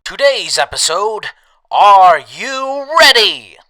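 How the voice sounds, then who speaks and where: A man playing a grandiose announcer narrating a post-apocalyptic adventure serial in a booming, dramatic delivery. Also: sing-song, takes it slowly, bright, forceful tone, slight background noise, full character voice. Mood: dramatic, grand.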